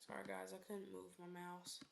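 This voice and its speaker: A woman's voice: lowish voice, monotone, normal speed, gravelly, slight background noise. A young woman streaming a game, chatting casually and rambling off on sidetracks, often correcting herself and dropping into whispered asides.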